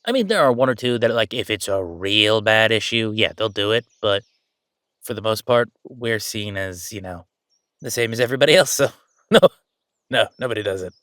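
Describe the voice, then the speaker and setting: A man's voice: fairly low pitch, lively intonation, normal speed, fairly bright tone, no echo. A man playing a chatty, easygoing ghost who died at thirty-five, rambling casually with wry asides in an audio roleplay. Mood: dismissive, amused. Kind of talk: conversational audio roleplay monologue.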